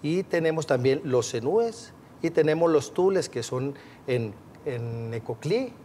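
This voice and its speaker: A man's voice: a little high, animated, unhurried, mellow. Guy explaining stuff to the host, friendly, light noise in back. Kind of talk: interview answers.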